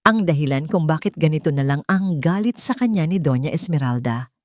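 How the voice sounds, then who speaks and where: A woman's voice: sits low, animated, a little fast, a little mellow, no room sound, studio quality. A woman reading a scripted, dramatic love story as its narrator.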